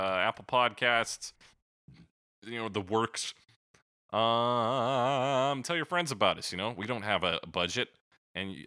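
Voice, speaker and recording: Male podcast host, low-pitched and sharply bright, chatting casually with slangy swearing and jokes. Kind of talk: casual chat.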